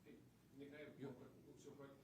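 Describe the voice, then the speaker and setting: A man's voice: medium pitch, completely flat delivery, moderate pace, a little mellow. A man working as a reporter, putting a question at a press conference, recorded in a very echoey, noisy room from a distance.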